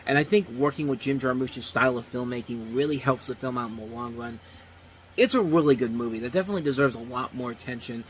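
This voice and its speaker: A man's voice: mid-range voice, fast talker, low-quality recording. Thirties male host, loose unscripted film talk, restarts often, faint hiss behind. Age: 30s. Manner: rambling, restarts, repeats phrases. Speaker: movie reviewer host. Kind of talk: casual unscripted review talk.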